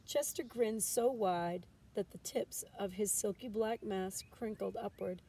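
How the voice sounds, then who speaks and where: A woman's voice: mid-range voice, unhurried, mellow. A woman narrating a children's storybook aloud as a teacher would, with expression. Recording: distant mic with background noise.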